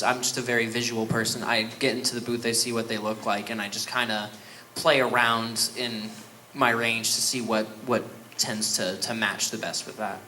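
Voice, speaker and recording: A man voice actor answering a convention panel question in a relaxed, rambling way. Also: mid-range voice, slight background noise, low-quality recording.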